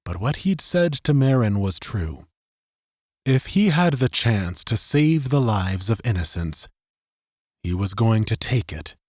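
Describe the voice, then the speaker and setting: A man's voice: on the low side, sing-song, unhurried, a little mellow, dry recording, clean studio sound. A man reading dramatic scripted narration as an audiobook narrator.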